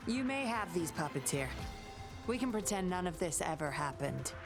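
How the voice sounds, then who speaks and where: A sly, self-assured undercover investigator from a video game. Her low female voice teases coyly while staying composed, with music playing underneath. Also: very animated, moderate pace. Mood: coolly negotiating. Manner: coy, composed, teasing. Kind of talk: scripted video game voice acting.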